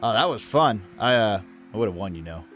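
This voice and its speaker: A man's voice: fairly low pitch, animated, brisk, fairly bright tone, soft background music. A man playing a boisterous, crude but kindly dwarf fighter in a fantasy audio drama, blunt and jokey. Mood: sheepish boasting.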